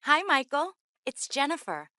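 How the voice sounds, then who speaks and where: A voice actress plays a friendly young woman in an English textbook dialogue. Her voice is high and recorded very dry. The delivery is scripted for learners. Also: very animated, measured pace, bright, forceful tone, no room sound, heavily acted. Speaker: voice actor in English lesson dialogues.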